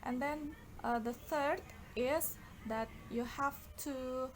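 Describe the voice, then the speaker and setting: A woman's voice: high-pitched, very slow. A woman with an Indonesian accent explaining a bilingual lesson as an English teacher, often stretching out her words. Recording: clear background noise.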